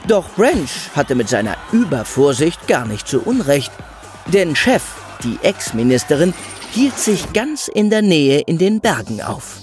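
A man's voice: a little high, sing-song, unhurried, pauses often, soft background music. A man narrating an audio story in a dramatic storytelling style.

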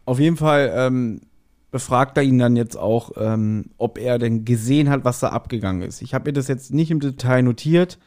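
A man hosting a podcast, casually retelling a story in an animated way and acting out short quotes. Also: medium pitch, normal speed. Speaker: podcast host. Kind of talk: casual chatty episode recap.

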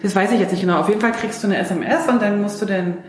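A woman from East Germany hosts a relaxed conversational interview in a playful, teasing manner. Her voice sits at a medium pitch. The room adds a clear echo, and faint music is present. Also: racing. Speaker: podcast host. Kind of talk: casual interview chat.

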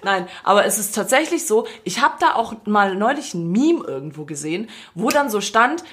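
A woman's voice: mid-range voice, sing-song, normal speed, fairly bright tone. A woman from Swabia co-hosting a podcast, chatting casually, joking and mimicking voices. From Swabia, Germany. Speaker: podcast co-host. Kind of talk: casual chat.

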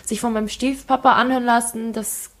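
A woman's voice: a little high, fairly even intonation, a little fast, fairly bright tone. Chatty woman from Thailand. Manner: frank, chatty storytelling with fillers. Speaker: guest. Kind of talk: casual conversation. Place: home kitchen.

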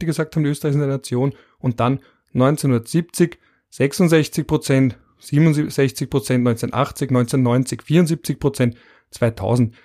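A man's voice: medium pitch, warm tone. A man hosting a podcast, reading out survey figures and musing on them in a wry, conversational way. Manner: reads out statistics, musing and wry. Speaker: podcast host.

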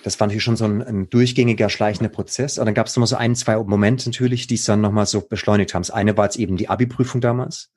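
Mental coach guest, thirties, slightly husky, lower voice, rambling and excited. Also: male voice, rapid-fire, hardly any pauses. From Baden, Germany. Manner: enthusiastic, rambling, slangy. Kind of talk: casual interview conversation.

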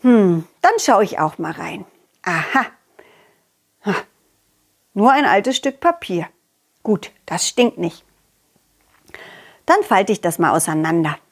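A woman voicing a confident, loyal, cheerful dog who tells stories to children in an excitable, childlike way, as in an audio play. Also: lowish voice, slow, long pauses, breathy edge, exaggerated voice. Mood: relieved, curious. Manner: excitable, childlike, speaking directly to child listeners. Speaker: puppeteer and storyteller.

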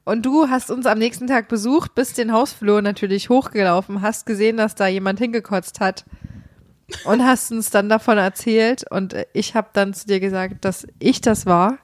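A woman's voice: mid-range voice, steady tone, moderate pace, no echo, clean studio sound. A young woman co-hosting a podcast with a slight German regional dialect, telling a story about herself in a giggly, self-mocking way. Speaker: podcast co-host. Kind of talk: casual chat.

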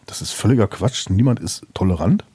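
A man's voice: lowish voice, animated, a little fast, dark tone, husky, no echo. A man from the Münsterland in Germany talking casually and bluntly about himself as an interview guest. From Münsterland, Westphalia, Germany. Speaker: podcast guest. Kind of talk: casual, reflective interview chat.

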